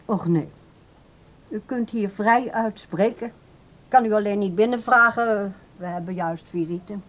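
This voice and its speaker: An actress playing a controlling grown daughter in a radio drama, speaking in a brisk, formal, placating way. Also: female voice, mid-range voice, lightly acted. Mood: politely evasive. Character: polite but evasive, controlling adult daughter.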